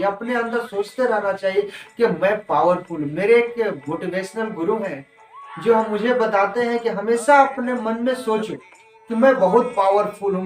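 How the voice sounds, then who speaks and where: A man with an Indian accent gives an informal motivational talk, emphatically repeating his points as a presenter. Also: high voice. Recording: roomy, distant mic with music. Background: music.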